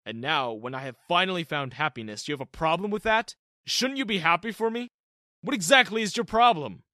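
A man voicing an indignant middle-aged father who speaks loudly and reproachfully. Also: sits high, expressive, fairly quick, no echo, clean studio sound, pitched above the performer's own voice. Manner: loud, reproachful.

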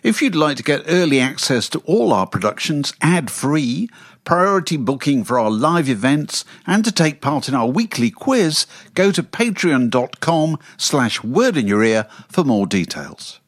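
A man reading a brisk, friendly scripted podcast promo. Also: on the high side, very animated. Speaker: podcast announcer. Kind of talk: scripted outro read.

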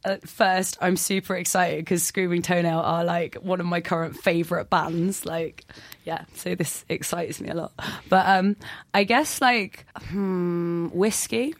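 A woman's voice: lowish voice, steady tone, measured pace, no echo. A young woman, a punk singer, talking casually in a radio interview with lots of "like" fillers. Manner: chatty, self-deprecating, many "like" fillers. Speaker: punk band singer, guest. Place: radio studio.

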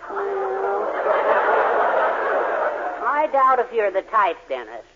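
Sardonic older man, high-pitched, swooping, mock-pompous delivery, noisy old radio comedy. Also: wildly swooping pitch, extremely slow, vocal fry, heavily acted. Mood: wryly teasing. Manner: dry, mock-pompous teasing. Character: wry, sarcastic older male household head. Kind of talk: 1940s radio sitcom acting. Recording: muffled, noisy 1940s radio recording.

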